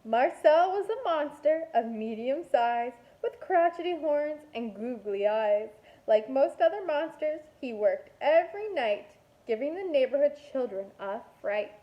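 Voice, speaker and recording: High-voiced woman reading slowly to young children. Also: highs cut off. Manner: expressive, deliberate storytelling with playful asides. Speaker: kindergarten teacher. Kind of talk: animated picture-book read-aloud for young children.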